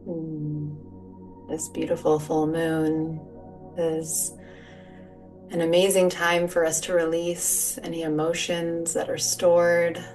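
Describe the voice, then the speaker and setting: A woman leading a guided relaxation as a yoga teacher, speaking in a soothing, gentle, unhurried manner. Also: low-pitched. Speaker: yoga nidra meditation guide. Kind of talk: guided meditation, soft spoken instruction. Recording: distant mic, music behind. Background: soft music or sound bowls.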